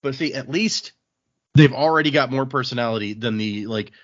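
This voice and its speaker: A man's voice: medium pitch, normal speed, muffled recording. A man hosting a podcast, chatting casually through a discussion of a book. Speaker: podcast host.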